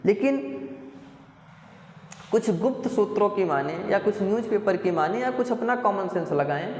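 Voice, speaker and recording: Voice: mid-range voice, a little mellow. An exam coaching teacher from explains things informally to candidates, repeating points and often checking in with tag words. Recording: echoey, reverberant room.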